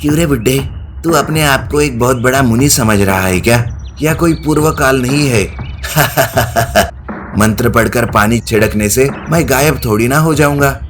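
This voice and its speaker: A man's voice: medium pitch, a little slow, background noise, natural voice. A man voicing a spoiled, arrogant young rich man in a comic storybook tale, mocking and rude. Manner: mocking, insolent. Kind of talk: acted dialogue in a moral comedy story.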